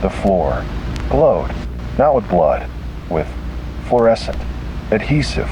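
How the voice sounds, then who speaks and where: A man's voice: lowish voice, very animated, takes it slowly, noisy background. A man narrating a scripted first-person detective horror story.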